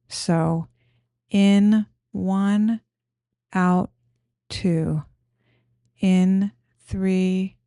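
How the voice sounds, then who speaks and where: A woman guiding a meditation in a gentle, soothing, unhurried manner, giving simple instructions. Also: lowish voice, no echo, clean studio sound. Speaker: meditation guide.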